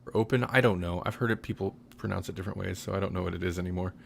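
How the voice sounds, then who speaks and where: A man's voice: sits low, very fast, creaky, a little hiss or hum. A man podcast host in casual, rambling chat, often correcting himself.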